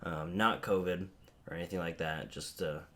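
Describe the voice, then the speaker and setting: A man hosting a casual comic haul video, a bit hoarse and low energy from a head cold, rambling with lots of fillers. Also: fairly even intonation, normal speed, a bit bright. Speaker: comic book channel host.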